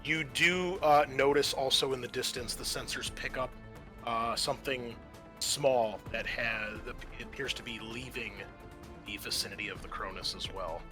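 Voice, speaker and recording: A man's voice: medium pitch, moderate pace, over music. A man hosting as game master, narrating a tabletop roleplaying game in a casual, often profane manner.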